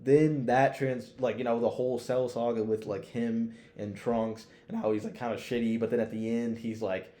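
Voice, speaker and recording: A man's voice: medium pitch, level tone, moderate pace, few pauses, dark tone. A man co-hosting a podcast, chatting casually about anime with lots of slang and heavy swearing. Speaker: podcast co-host. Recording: roomy, echoey sound.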